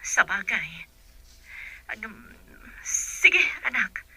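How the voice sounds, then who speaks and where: A woman's voice: mid-range voice, big pitch swings, extremely slow, sharp tone, full character voice, rough recording. A woman playing an earnest female family member in a radio drama. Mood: doubtful, resigned. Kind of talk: Filipino radio drama acting.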